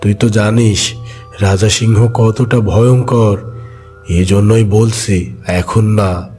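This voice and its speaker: A man's voice: fairly low pitch, fairly even intonation, takes it slowly, a little mellow, soft background music, voice pushed down. Man voicing a cautious scheming cartoon tiger uncle. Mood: cautioning.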